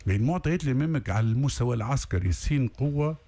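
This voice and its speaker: Man, Tunisian Arabic accent, talk show host, quick interjections, gravelly, lively pitch.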